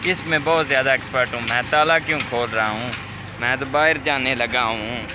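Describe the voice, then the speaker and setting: A man's voice: mid-range voice, a little fast, noisy background. A man with a slightly sore throat chatting to viewers as he walks through his village to a shop. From Pakistan. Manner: chatty, addresses viewers directly. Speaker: vlogger. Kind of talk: casual vlog walking through a village. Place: outdoors in a village lane.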